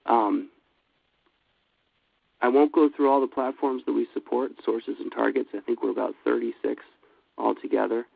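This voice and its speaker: A man with a cold giving a steady, businesslike webinar presentation, with frequent fillers. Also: medium pitch, normal speed, vocal fry, long pauses, no echo. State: has a cold. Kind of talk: scripted product presentation. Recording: dry, phone-like line.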